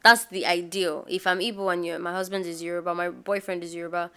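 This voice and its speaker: Bright, crisp Nigerian woman, animated. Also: on the low side, quick pace. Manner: animated storyteller, emphatic. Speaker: podcast co-host. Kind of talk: casual group chat.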